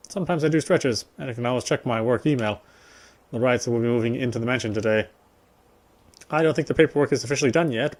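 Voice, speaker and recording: A man's voice: medium pitch, a little mellow. A man streaming a visual novel, reading its story aloud and commenting casually. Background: faint game music.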